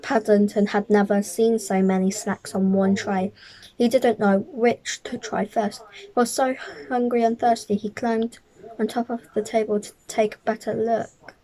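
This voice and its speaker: A woman reading a children's picture book aloud as a storyteller, careful and a little halting, stumbling over the odd word. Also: medium pitch, little pitch movement, warm tone, low-quality recording.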